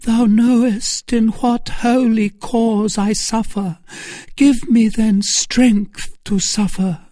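A narrator voicing a melodramatic woman schemer in an audiobook novel, feigning pious innocence with breathy, imploring pleas. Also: medium pitch, steady tone, slow pace, mellow, dry recording, voice pushed up, natural voice. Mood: tearful prayer.

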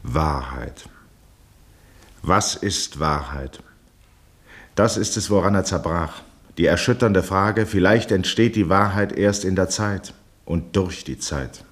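An actor as the grave, measured narrator of a 1960s German radio drama, reading literary prose. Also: male voice, low voice.

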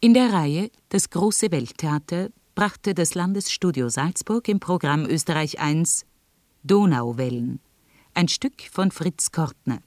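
A woman radio announcer reading scripted credits in a formal, measured manner. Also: low-pitched, animated, a little mellow, dry recording, studio quality.

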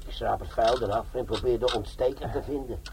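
An actor playing a brash, wry older bomb disposal sergeant in a comic crime radio play, sardonic and fond of lecturing. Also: male voice, medium pitch, steady tone, fairly quick, creaky, darkish tone, slight background noise, lightly acted, rough recording. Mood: jovial lecturing.

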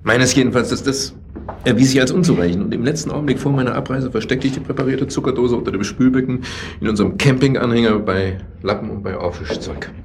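An actor playing a bitter, agitated husband in a German radio play, confessing in a halting, brooding way and repeating his words. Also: mid-range voice, slight background noise, natural voice.

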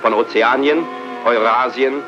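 A man reading scripted dramatic narration in a solemn, measured manner. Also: medium pitch, fairly even intonation, close to an everyday voice. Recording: dull old broadcast with music behind.

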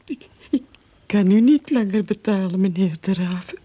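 A woman playing an anxious, naive old widowed mother in a radio play, breathy, hesitant and stammering. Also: medium pitch, moderate pace, mellow, lightly acted. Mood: meek, grateful.

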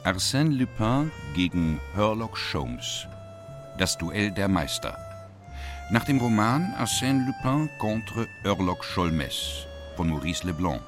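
A man's voice: lowish voice, very animated, takes it slowly, over music. A man reading titles and credits as a scripted radio announcement.

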